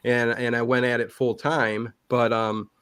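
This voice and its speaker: A man's voice: mid-range voice, little pitch movement, measured pace, fairly bright tone, clean tone, dry recording, studio quality. A man chatting casually in an interview, rambling and emphatic, often restarting his sentences halfway through. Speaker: livestream channel host being interviewed.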